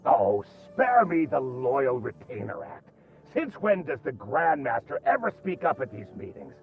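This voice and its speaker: A man's voice: medium pitch, wildly swooping pitch, measured pace, gravelly, phone-quality sound, over music, exaggerated voice. A man acting a hot-headed, scornful sect elder in a video game, with biting, accusatory delivery.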